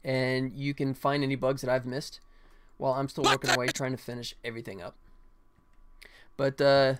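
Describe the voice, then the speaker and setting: A man's voice: mid-range voice, level tone, normal speed, fairly bright tone, slight background noise. An American man from Atlanta hosting a casual livestream, chatting informally with viewers as he works on his game. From United States, Atlanta. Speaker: game developer and livestream host. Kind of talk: casual livestream chat while working.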